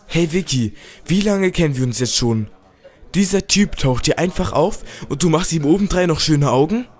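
A man's voice: a little high, expressive, normal speed, natural voice. A man playing a jealous, possessive young aristocrat with a snide manner in an amateur German audio drama. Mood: jealous, scornful.

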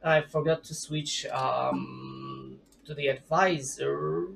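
Casual male streamer, 30s, unhurried delivery, self-mocking, home desk mic. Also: mid-range voice, fairly even intonation, gravelly, fairly bright tone, slight background noise. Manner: rambling, chatty, self-deprecating, laughs often. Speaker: gaming streamer. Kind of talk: casual let's play commentary. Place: home room with gaming chair.

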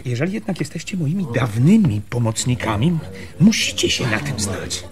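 A man's voice: medium pitch, sing-song, moderate pace. A man playing a self-assured stranger, a land surveyor, in a radio drama, insistent and officious. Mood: officious, stern.

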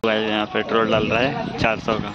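A man's voice: on the low side, monotone, a little fast, rough recording. A man speaking casually outdoors in a vlog. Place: outdoors by a road. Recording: loud outdoor wind and vehicle noise.

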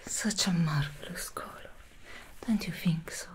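A woman voicing a seductive female demon hairstylist in an ASMR roleplay, whispering softly close to the mic with slow, soothing reassurances. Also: fairly low pitch, natural voice. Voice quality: soft whisper. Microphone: close sensitive ASMR mic.

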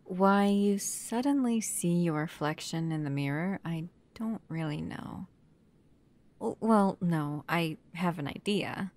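A woman acting a protective, doting human caretaker of a vampire in an intimate, soft-spoken ASMR roleplay, often dropping toward a whisper. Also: on the low side, animated, takes it slowly. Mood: fond, reassuring. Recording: clear background noise and ambience.

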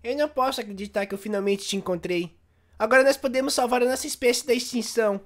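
A woman's voice: fairly high pitch, fast, close to an everyday voice. A Brazilian woman voicing an anxious, whiny little koala alien crewmate in an adult cartoon dub, fretful and pleading. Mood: hopeful. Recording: loud music behind the voice.

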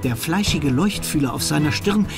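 A man's voice: fairly high pitch, lively intonation, normal speed, creaky. A man narrating a suspenseful children's audio drama with dramatic scripted storytelling. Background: music.

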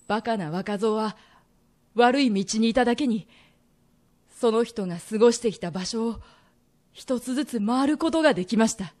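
A woman acts an earnest young merchant in a radio drama. The voice is high for the part, and he confesses his wayward past with remorse and feeling. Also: normal speed, long pauses, darkish tone, lightly acted. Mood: earnest, restrained. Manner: confessional storytelling that swells with emotion. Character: reformed young merchant man, earnest, remorseful, pleading son.

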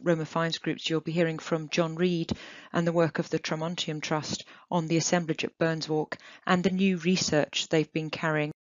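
A woman delivers an enthusiastic keynote on archaeological finds, measured and engaged. Her voice sits quite low. The sound is dry and somewhat dull, recorded through a computer mic for an online conference. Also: level tone, no room sound. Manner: enthusiastic, measured, with occasional "um" and restarts. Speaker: archaeologist giving a keynote lecture. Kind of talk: illustrated conference talk over slides. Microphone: computer mic on a video call.

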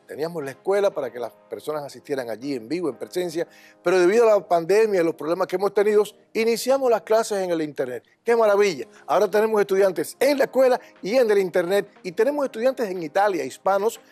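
A man's voice: high voice, darkish tone. An older man speaking as a language school director in a TV studio interview, with an emphatic, confident, instructive way of talking. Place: TV studio set.

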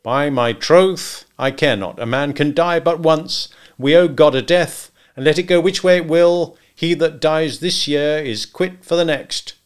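A man voicing a gruff, unflappable male hunter who talks in terse, hearty, clipped phrases. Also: on the high side, unhurried, few pauses, voice pushed up, lightly acted. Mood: brisk, gruff.

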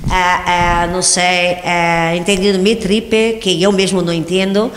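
A woman's voice: fairly low pitch, little pitch movement, slow pace. A middle-aged woman from Brazil, a Portuguese native speaker with a strong Brazilian accent, chatting playfully as a guest painter in a TV studio and rambling with frequent laughs. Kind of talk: casual TV interview chat. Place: large TV studio set. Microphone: handheld mic. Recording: echoey hall with long reverb.

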